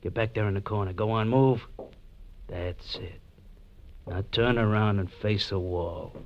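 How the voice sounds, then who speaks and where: A man's voice: on the low side, measured pace, highs cut off. Sneering, oily gangster henchman. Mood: gloating, menacing. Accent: American. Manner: sneering, mock-polite. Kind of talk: 1940s radio crime drama acting. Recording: noisy old radio drama recording, off-mic.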